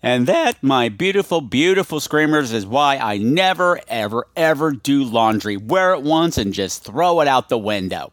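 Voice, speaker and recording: A man's voice: mid-range voice, expressive, moderate pace, few pauses, no room sound. A man hosting a podcast, chatting casually and theatrically to his listeners. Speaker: podcast host.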